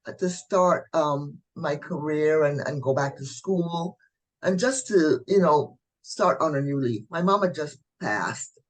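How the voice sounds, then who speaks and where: A middle-aged woman from Barbados, a coach telling her story as an interview guest in a lively, chatty way. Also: sits low, measured pace. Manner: lively, chatty, warm asides like "honey".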